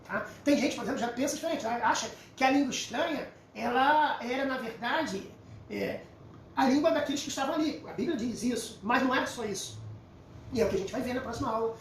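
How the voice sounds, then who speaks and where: A man's voice: very high-pitched, fairly quick. A middle-aged Brazilian man with a Carioca accent teaching the Bible informally, emphatic and repetitive like a storyteller. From Rio de Janeiro, Brazil. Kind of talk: informal Bible lesson with personal anecdotes. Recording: roomy echo, distant mic, faint noise.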